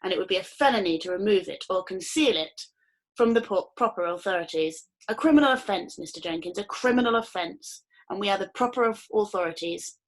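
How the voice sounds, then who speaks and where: A woman's voice: mid-range voice, a little fast, lightly acted, low-quality recording. A woman voicing a pompous, portly male customs officer who talks in a formal, officious way.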